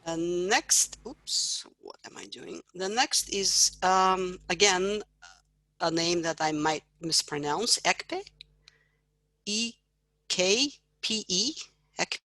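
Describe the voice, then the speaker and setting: A woman professor leading an online class, greeting students and asking them to introduce themselves in an encouraging, brisk manner. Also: fairly low pitch, bright, forceful tone, dry recording. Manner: upbeat, encouraging, brisk questions. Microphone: computer mic on video call.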